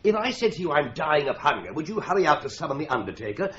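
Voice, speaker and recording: A man's voice: on the high side, sing-song, quick pace, crowd noise. An actor playing a wry, teasing young male solicitor's clerk in a radio drama. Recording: dull lo-fi radio play recording.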